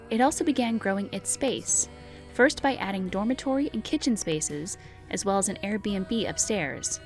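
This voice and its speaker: A woman host reads scripted documentary narration in a clear, even delivery. Faint music plays beneath her voice. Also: mid-range voice.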